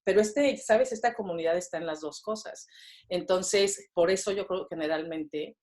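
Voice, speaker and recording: A woman's voice: lowish voice, moderate pace, a little mellow. A Mexican woman in her thirties chatting as a podcast guest, with lots of fillers and tag questions. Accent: Mexican Spanish. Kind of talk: casual interview conversation.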